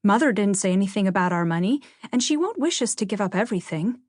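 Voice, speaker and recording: A woman's voice: mid-range voice, lively intonation, fast talker, no room sound, lightly acted. Lady reading as a fiery tomboy teen girl, blunt and grumbly, storybook voice, close dry sound. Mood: defiant.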